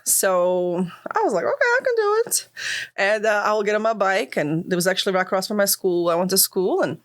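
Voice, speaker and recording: A woman's voice: mid-range voice, big pitch swings, fairly quick. Chatty woman in her 40s. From Croatia. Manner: chatty and laughing, with many restarts. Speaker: guest. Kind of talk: casual interview storytelling. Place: decorated podcast set. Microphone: studio podcast mic, on camera.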